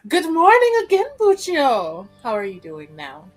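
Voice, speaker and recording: A courteous, cheery woman in a fantasy game, acted by a female player, speaks with lively rises and falls. She is friendly and polite, with faint music behind her. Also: high-pitched, unhurried, voice pushed up, full character voice. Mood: cheerful, welcoming. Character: friendly, polite woman offering work and lodging.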